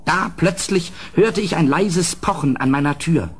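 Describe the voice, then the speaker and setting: Husky, grave gothic horror storyteller. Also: male voice, on the high side, a little slow. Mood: grave, dread. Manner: grave, literary, dramatic delivery. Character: anxious, well-mannered male houseguest narrating his night of horror. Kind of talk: gothic horror radio play narration and acting.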